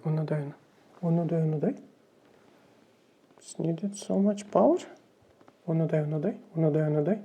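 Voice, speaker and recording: A woman testing microphones in a room, talking casually and hesitantly with many fillers, and reading haltingly from a book. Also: deep voice, mellow, slightly rough, muffled recording. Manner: reads aloud haltingly from a book. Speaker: gear reviewer testing microphones. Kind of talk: casual mic test vlog. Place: indoor room. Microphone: various test microphones, condenser among them.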